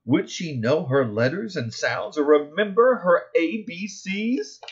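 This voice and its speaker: A middle-aged man reading his own children's picture book aloud, expressively and deliberately, stressing key words in the rhymes. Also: on the high side, animated, highs cut off. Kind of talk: expressive children's storybook narration.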